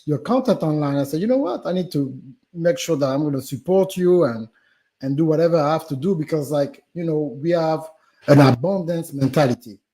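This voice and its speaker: A man's voice: on the high side, a little fast, warm tone. A man with a French accent, a business guest on a live stream interview, talking animatedly with many fillers and restarts. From Gabon.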